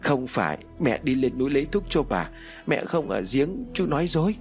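A man's voice: fairly high pitch, very animated, normal speed, creaky, soft background music, pitched above the performer's own voice. A man voicing a frightened small girl, tearful and pleading. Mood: scared, tearful.

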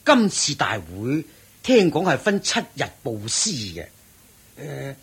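A man with a Hong Kong Cantonese accent playing a genial, dignified royal lord in a radio drama, husky and effusive. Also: medium pitch, huge pitch swings, slow, heavily acted. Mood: genial curiosity. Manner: stately, effusive.

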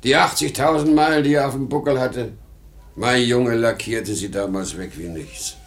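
A man's voice: mid-range voice, moderate pace, lightly acted. An actor playing a weary, rambling old traveling salesman in a 1950s German radio drama, swinging between outbursts and murmurs. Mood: nostalgic. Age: older.

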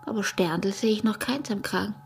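An actress with an Austrian accent playing a smuggler's wary, teasing peasant wife in a radio drama, her voice breathy and husky. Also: mid-range voice, moderate pace, a little hiss or hum, lightly acted. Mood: teasing, flirtatious. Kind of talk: intimate radio drama acting in dialect.

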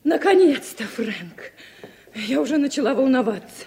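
An actress playing a writer's anxious, questioning wife in a radio drama. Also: female voice, high voice, lively intonation, normal speed, vocal fry, mellow, big-room sound, faint music behind. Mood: worried, insistent. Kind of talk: Soviet radio drama acting.

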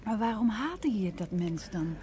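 A woman's voice: mid-range voice, expressive, unhurried, noisy background, natural voice. An actress in a naturalistic radio play, playing a familiar, concerned young female companion. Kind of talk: naturalistic radio drama acting.

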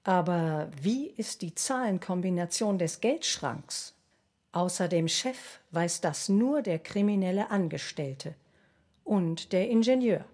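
A woman's voice: on the low side, animated, slow pace, a little mellow. A woman reading scripted story narration in a radio play.

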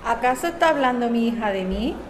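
A woman's voice: on the high side, lively intonation, a little fast, close to an everyday voice. A woman playing a stubborn, wry older mother in an audio drama. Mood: indignant, teasing. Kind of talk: scripted amateur radio drama. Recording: echoey, noisy crowded room. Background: crowd chatter, ambient noise.

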